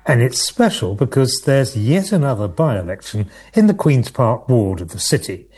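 A man hosting a local radio politics show, presenting candidates in a measured way with occasional hesitations. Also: mid-range voice, very animated, a little mellow, breathy edge.

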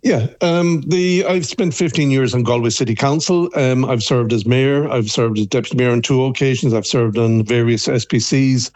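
An Irish man talking over the phone about his record in local politics, halting often with ums and restarts. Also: mid-range voice, a little mellow, no room sound. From Ireland. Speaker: councillor guest on the phone. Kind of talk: phone interview. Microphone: phone line.

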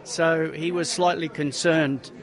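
A middle-aged man, a racing driver guest, telling relaxed, rambling anecdotes in casual conversation, with frequent restarts and fillers. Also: a little high, slow, a crowd in the background.

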